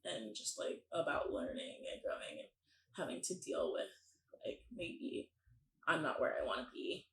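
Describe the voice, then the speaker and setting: A young woman in her twenties hosting a podcast, her voice gravelly with vocal fry. She chats in a loose, rambling way with many fillers and laughs. The room sounds roomy and a little echoey. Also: on the low side, lively intonation, moderate pace, slight background noise. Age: 20s. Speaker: podcast host. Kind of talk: casual interview chat.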